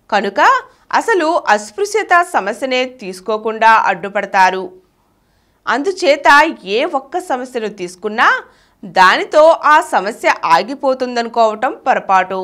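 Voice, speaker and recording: A woman reading an essay from a script in a measured, didactic manner. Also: a little high, a bit bright. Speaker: audiobook reader.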